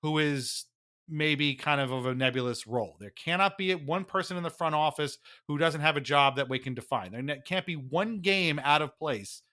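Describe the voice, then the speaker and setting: Bright, higher-voiced man talking sports emphatically. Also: normal speed. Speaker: podcast co-host. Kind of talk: opinionated sports podcast commentary.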